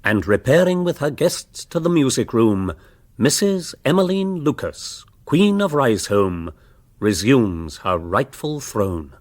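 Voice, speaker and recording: A man's voice: mid-range voice, big pitch swings, measured pace, dry recording. A man with an English Received Pronunciation accent giving wry, stately scripted narration for a radio comedy. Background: piano music.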